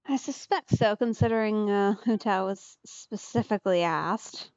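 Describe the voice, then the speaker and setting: A woman's voice: mid-range voice, moderate pace, a little mellow, smooth, no echo, lo-fi sound. A woman game streamer giving casual, offhand let's play commentary in short asides.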